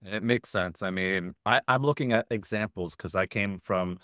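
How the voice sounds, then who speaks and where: Male interviewer, notably low voice. Loose, thinking-aloud conversational delivery, dry recording. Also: moderate pace, fairly bright tone, dry recording. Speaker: podcast interviewer.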